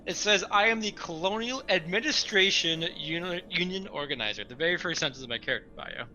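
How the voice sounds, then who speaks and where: Male tabletop player, higher register, animated, argumentative questioning, very bright tone. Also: a little fast. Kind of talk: casual game-table chat. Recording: faint music behind the voice.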